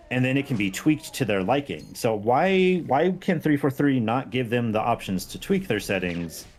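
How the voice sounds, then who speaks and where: A man talking casually and explaining his views as a guest on a gaming stream. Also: fairly low pitch. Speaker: game modder and stream guest.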